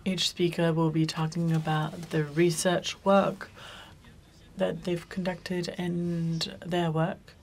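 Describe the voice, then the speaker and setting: A woman's voice: fairly low pitch, level tone, takes it slowly, slight background noise. A woman moderating a conference panel, speaking loosely and often correcting herself mid-sentence. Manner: loose, self-correcting, with frequent restarts. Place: conference room.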